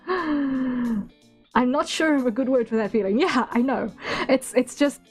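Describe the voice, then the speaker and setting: High-voiced, slow-paced tired woman streamer. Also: husky. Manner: rambling, giggly, self-deprecating. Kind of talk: casual livestream chat to viewers. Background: background music.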